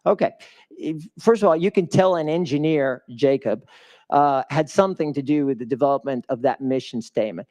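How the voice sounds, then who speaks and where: A man's voice: on the high side, a little fast, darkish tone. A man giving a live motivational fundraiser speech as a guest speaker, emphatic and putting questions to the audience. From a developing country.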